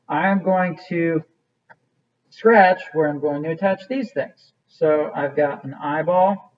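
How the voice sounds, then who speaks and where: Male instructor, somewhat high-pitched, casual step-by-step demo delivery, thinks out loud, muffled low-fidelity sound. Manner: informal, thinking aloud as he works. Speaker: art teacher. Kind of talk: hands-on demonstration, casual instruction.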